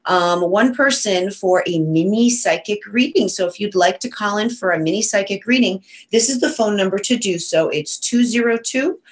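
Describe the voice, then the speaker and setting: A woman from Western New York, a psychic medium, chatting casually on a talk show with a nasal voice from a cold and frequent ums. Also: on the low side. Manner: chatty, loose, many ums and uhs.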